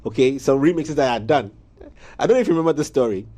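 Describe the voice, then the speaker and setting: A man hosting a morning studio interview, telling stories in a lively way with laughs. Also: mid-range voice, rapid-fire. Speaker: morning show host.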